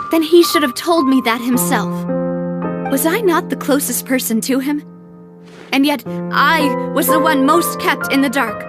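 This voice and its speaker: An actress playing a proud, determined young noblewoman, speaking with emotion and emphasis in a video game cutscene. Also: high voice. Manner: emphatic, emotional, well-spoken.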